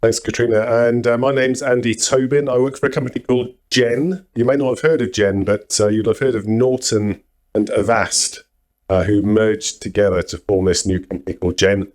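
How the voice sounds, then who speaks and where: A man introducing himself and his work at a conference talk in a relaxed, measured way. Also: medium pitch. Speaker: conference speaker. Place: conference hall before an audience.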